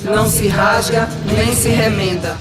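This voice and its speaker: A man playing a mourning peasant in an animated film, slowly chanting solemn funeral verse. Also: high voice. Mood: solemn, mournful. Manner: solemn, drawn-out, measured. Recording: echoey, with loud music behind.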